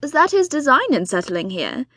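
A woman doing a deadpan, dry-witted husband. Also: sits high, moderate pace, no echo, exaggerated voice. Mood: dry, teasing. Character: dry, weary husband, a bookish father of five.

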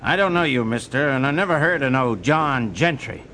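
An actor playing an unwelcoming, curt, folksy ranch hand in a Western audio drama. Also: male voice, medium pitch, lively intonation, normal speed, sharp tone, a little hiss or hum. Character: hostile ranch hand guarding the spread.

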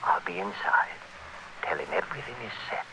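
A man's voice: fairly low pitch, huge pitch swings, brisk, gravelly, exaggerated voice. An actor playing a cold, domineering male criminal who schemes and gives orders in a 1940s radio thriller. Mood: urgent, menacing. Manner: hard, domineering, clipped and menacing. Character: cold, scheming male criminal narrating his murderous plot. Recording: noisy vintage 1940s radio recording.

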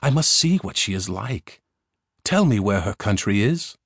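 A man's voice: on the low side, very animated, a little slow, a bit bright, husky, no room sound, clean studio sound, heavily acted. A man voicing a young prince who is earnest, determined and curious, in a storybook.

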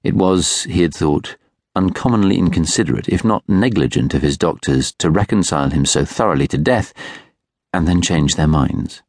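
A British man reading literary fiction as an audiobook narrator, in a measured, reflective way. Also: sits low, darkish tone, no echo, studio quality. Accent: British English. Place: studio.

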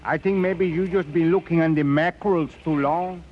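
A man's voice: a little high, fairly even intonation, normal speed, close to an everyday voice. An actor playing a guileless, worried fisherman sidekick who speaks in broken grammar, in 1940s radio acting style. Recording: muffled, noisy 1940s radio broadcast.

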